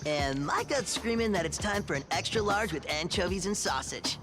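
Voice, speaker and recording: A man's voice: high-pitched, lively intonation, moderate pace, over music. A man playing a goofy, carefree teenage mutant turtle in a cartoon video game, using playful surfer slang.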